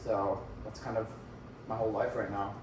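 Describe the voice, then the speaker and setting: A man's voice: fairly low pitch, flat delivery, normal speed, noisy background. A man in his twenties from India, chatting casually on a podcast with a teasing manner and many filler sounds. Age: 20s. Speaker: podcast co-host. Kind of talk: casual chat. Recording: roomy echo, distant mic.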